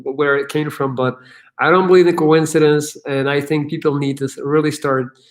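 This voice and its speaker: A man hosting a casual livestream Q&A, answering viewer questions in an energetic, profane way with many fillers. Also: a little high, normal speed.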